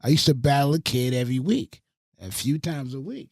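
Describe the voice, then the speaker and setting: A man from the Bronx and Fayetteville, a radio host and producer, telling animated stories on a podcast and swearing freely. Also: medium pitch, brisk, no room sound, studio quality. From Bronx, New York and Fayetteville, North Carolina. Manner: animated storyteller with a lot of swearing. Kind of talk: casual podcast storytelling.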